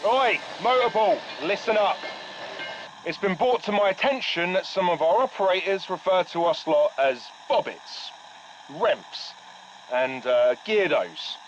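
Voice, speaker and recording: An actor with an English accent playing a blunt, proud military mechanics boss in a video game, giving a slangy, rousing speech. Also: male voice, high-pitched, lively intonation, a little slow. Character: gruff, proud male military mechanics boss rallying his crew. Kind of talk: game audio log, military pep talk. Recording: dull radio-like audio, faint noise.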